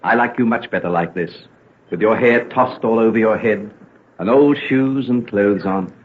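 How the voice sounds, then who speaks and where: An actor playing an ardent young gentleman doctor with a darker side in a vintage radio drama, with romantic old-fashioned diction. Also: fairly low pitch, normal speed, close to an everyday voice. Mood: ardent, teasing. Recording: tinny, noisy old radio recording.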